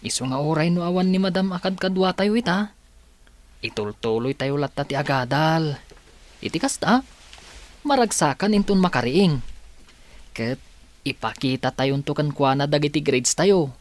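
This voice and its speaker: A man's voice: on the high side, animated, moderate pace, long pauses, close to an everyday voice. A man playing an earnest young male student and devoted grandson in a radio drama, with a pleading manner. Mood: earnest, hopeful. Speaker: voice actor.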